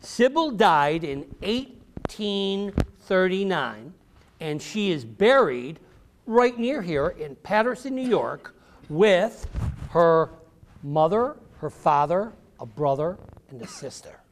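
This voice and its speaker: A man's voice: high voice, very animated, slow, a little hiss or hum. An American man, an author, giving an encouraging, theatrical storytelling talk to children in simple, emphatic phrases. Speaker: author and speaker. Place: public library event before children.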